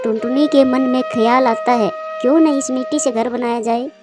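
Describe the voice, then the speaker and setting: High-pitched woman narrating a children's story. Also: moderate pace. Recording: music bed behind the voice.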